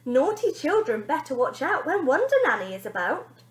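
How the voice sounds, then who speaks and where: A woman's voice: sits high, big pitch swings, pitched above the performer's own voice. A woman playing a smug, sneering TV nanny. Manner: cold mock-sweet delivery, dramatic pauses. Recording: echoey room.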